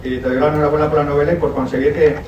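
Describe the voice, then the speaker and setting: A man's voice: a little high, level tone, very fast, a little mellow, dull audio, slight background noise. A middle-aged man from Valencia in the audience at a conference Q&A, praising the authors with effusive enthusiasm. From Valencia, Spain. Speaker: audience member. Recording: echoey hall from a distant mic, crowd behind.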